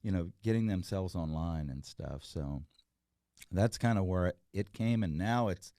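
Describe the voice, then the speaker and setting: A man's voice: sits low, lively intonation, a little slow, dark tone, no room sound, clean studio sound. A middle-aged man, a guest talking casually and earnestly, rambling with fillers and restarts. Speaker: guest, entrepreneur. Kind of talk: casual interview chat.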